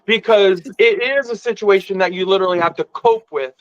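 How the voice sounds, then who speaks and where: A man's voice: high voice, a little fast, no echo. A man talking in casual conversation on a lo-fi connection. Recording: dull lo-fi audio, dry.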